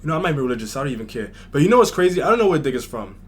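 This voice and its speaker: A man's voice: a little high, big pitch swings, rapid-fire, slight background noise. A man from New York hosting a music reaction video, chatting casually and thinking aloud. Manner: chatty, thinks aloud with fillers like "like" and "you know". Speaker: reaction channel host.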